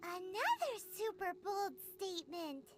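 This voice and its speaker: A woman voicing a tiny, excitable, childlike fairy companion in a video game, squeaky and exclamatory. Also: a little slow, exaggerated voice. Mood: impressed. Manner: third-person, exclamatory, indignant. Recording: music behind the dialogue.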